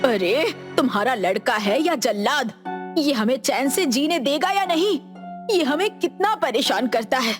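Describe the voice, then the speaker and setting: A man voicing an irate, scolding village woman in an animated children's story. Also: very high voice, unhurried, over music, pitched above the performer's own voice. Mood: outraged.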